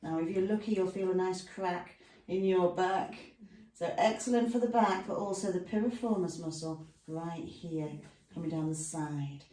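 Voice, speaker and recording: A woman's voice: lowish voice, normal speed, dark tone. A woman instructor talking a class through stretches at home in a chatty way, with lots of asides between the cues. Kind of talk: guided exercise instruction. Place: room at home with a dog nearby. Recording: echoey room, mic far off.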